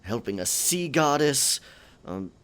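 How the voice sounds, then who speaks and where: A man playing a theatrical, sentimental pirate captain in a scripted audio roleplay, with a gravelly voice, archaic pirate talk and a halting, stammering dramatic delivery. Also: mid-range voice, big pitch swings, a bit bright. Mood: wistful, defensive.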